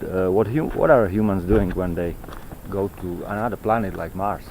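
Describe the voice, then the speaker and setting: A man who is not a native English speaker, rambling haltingly as he vlogs on a walk, searching for words and repeating himself. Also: sits low. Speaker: vlogger. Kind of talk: rambling walk-and-talk monologue on ancient texts. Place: outdoors on a mountain trail. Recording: noisy outdoor handheld recording with clicks.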